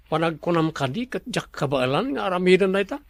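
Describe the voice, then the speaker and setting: Casting: scheming radio-drama boss, gravelly, slightly high male voice, pushy, dry studio sound. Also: very animated, quick pace, no room sound, full character voice. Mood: pressing, teasing. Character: scheming, commanding resort businessman, a boss. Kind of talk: Ilocano radio drama acting.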